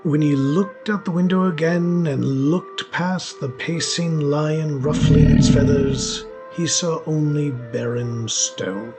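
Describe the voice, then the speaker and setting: A man's voice: on the high side, takes it slowly, hardly any pauses. A man narrating a scripted story as a storyteller. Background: music.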